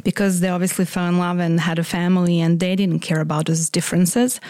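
A woman's voice: fairly low pitch, level tone, brisk, warm tone, dry recording. A woman in her forties from Croatia, speaking English with a Croatian accent, giving a reflective personal testimony in an interview.

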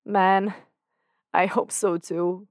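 A woman's voice: medium pitch, flat delivery, very slow, no room sound, studio quality, voice pushed up. A woman voicing an emotional young man who talks in an earnest, candid way with casual slang. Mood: tearful. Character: young man, emotional and confessional, self-doubting.

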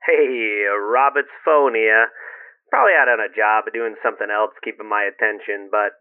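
A man's voice: lowish voice, fairly even intonation, moderate pace. A man playing a laid-back guy recording an offhand voicemail greeting in an audio drama, phone-filtered. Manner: casual, offhand. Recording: narrow, tinny phone line.

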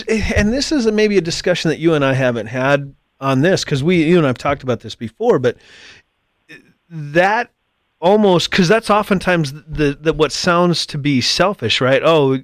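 A middle-aged American man hosting a casual conversational interview, thinking aloud in a wordy, halting way and often rephrasing. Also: fairly high pitch, no echo. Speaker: podcast host.

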